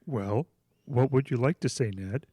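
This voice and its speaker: A woman's voice: rumbling low, unhurried, mellow, husky, no echo, exaggerated voice. A woman playing a genial, chatty, fussing church pastor in a radio drama. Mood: kindly.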